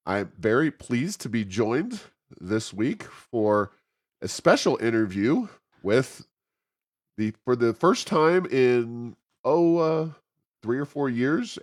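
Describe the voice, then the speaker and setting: Male podcast host, American accent, low voice, halting delivery, conversational interviewing. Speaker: podcast host and think tank president.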